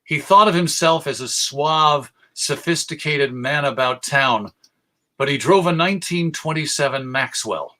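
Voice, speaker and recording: Forceful bright tone, slightly high pitch, American man, middle-aged, public lecturer, measured storytelling, deliberate pauses. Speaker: presenter. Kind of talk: public lecture on radio history.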